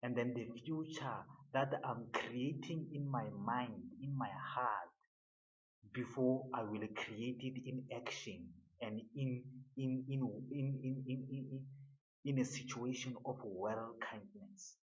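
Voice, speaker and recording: A man giving an earnest motivational monologue, halting and often repeating his words. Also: medium pitch, lo-fi sound.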